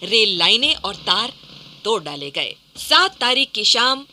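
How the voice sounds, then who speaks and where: A woman's voice: mid-range voice, big pitch swings, measured pace, bright, forceful tone, a little hiss or hum. A woman narrating a scripted historical radio play in a dramatic storytelling style.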